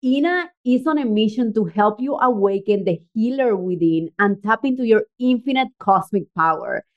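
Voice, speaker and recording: A woman hosting an interview podcast in an excited, chatty way. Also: medium pitch, moderate pace. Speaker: podcast host. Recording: dull lo-fi audio.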